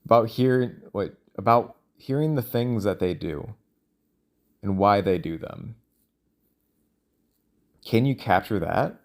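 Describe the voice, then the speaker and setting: Low-voiced man musing out loud. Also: expressive. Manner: musing, halting, self-questioning. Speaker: solo audio log creator. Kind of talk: casual rambling monologue, thinking out loud.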